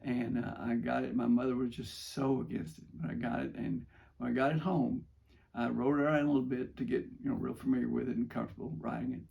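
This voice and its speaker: Gravelly older American man telling stories. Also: mid-range voice, fairly even intonation, fast talker, a little mellow, highs cut off. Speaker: father telling stories from his youth. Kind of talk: casual first-person storytelling.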